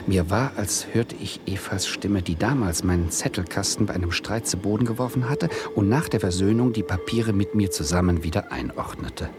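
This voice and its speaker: A man's voice: low voice, background noise, close to an everyday voice. An actor playing a wry, self-justifying male doctoral student who narrates a radio play in a dry, literary way.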